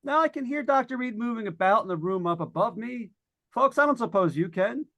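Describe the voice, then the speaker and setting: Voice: medium pitch, expressive, a little fast, heavy background noise, lightly acted. Jittery vintage-radio announcer in a haunted house, acted: folksy, stammering, coming apart, widely varied intonation. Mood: jumpy. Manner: folksy, stammering, addressing listeners at home. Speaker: radio drama actor. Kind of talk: old-time radio suspense acting.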